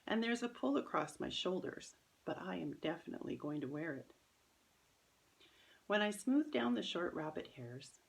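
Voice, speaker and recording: A woman's voice: sits low, vocal fry, low-quality recording. A woman reading a children's story aloud as its author, in scripted first-person narration.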